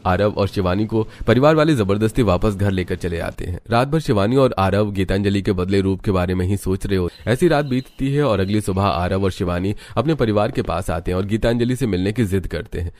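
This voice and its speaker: A man's voice: fairly low pitch, fairly quick, hardly any pauses, darkish tone, no echo. A man reading scripted narration for a story. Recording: music under the voice. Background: background music.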